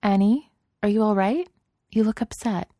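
Gentle young woman, calm, loving, warm low voice, dry close sound, as a woman reads her lines. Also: moderate pace, no room sound, lightly acted. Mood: concerned.

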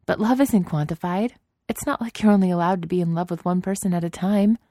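A woman reading a story aloud, voicing a calm, loving young woman, a little higher than her narration. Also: brisk, dry recording, studio quality, close to an everyday voice. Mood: gently reassuring.

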